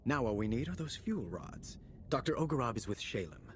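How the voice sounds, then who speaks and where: A man's voice: medium pitch, very animated, brisk, a little hiss or hum, lightly acted. An actor playing a composed male Republic ally giving a mission briefing in a video game. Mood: impressed, businesslike.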